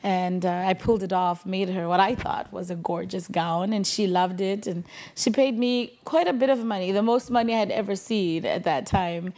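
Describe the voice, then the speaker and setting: A young adult woman from Zimbabwe speaks in a mellow, relaxed voice at an ordinary pitch. She tells her story in a composed, steady way as a guest on a small studio interview show. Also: normal speed, hardly any pauses. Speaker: guest, clothing designer. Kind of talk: casual interview talk.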